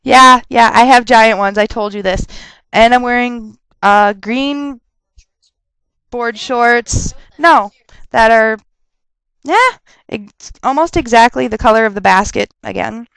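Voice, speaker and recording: A young woman rambles through viewer questions in a dry, close webcam recording. Her voice sits fairly high and stays smooth even though she is stuffed up from a cold. She chats loosely and slides into rants. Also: no echo, low-quality recording. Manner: chatty, rambling, quick to laugh and rant. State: stuffed up with a sore throat. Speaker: vlogger answering viewer questions. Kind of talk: casual rambling webcam vlog.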